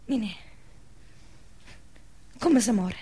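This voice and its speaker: An actress playing a dying young peasant woman, simple, devout and frightened, in radio theatre, with a central-southern Italian dialect and a breathy voice that often drops to a whisper. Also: mid-range voice, very slow, warm tone, exaggerated voice. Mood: fearful insistence.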